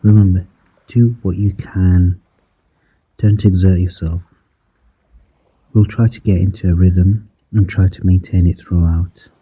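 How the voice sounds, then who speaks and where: Man, very deep voice, slow soft-spoken meditation guide, dark husky tone. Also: steady tone, rough recording. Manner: slow, soft-spoken, counting breaths with whispered counts.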